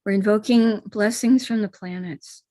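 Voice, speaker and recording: A middle-aged woman with an American accent, a spiritual teacher calmly guiding listeners step by step through a live-streamed ritual. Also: mid-range voice, moderate pace, no echo. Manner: calm, gentle step-by-step guidance.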